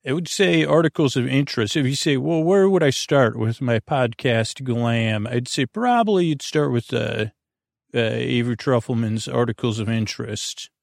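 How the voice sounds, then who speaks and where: A man hosting a sleep podcast in a meandering, halting monologue, often stammering and trailing off, with a creaky, droning voice recorded in a small closet. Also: mid-range voice, no room sound, clean studio sound. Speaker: podcast host. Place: small closet under the stairs.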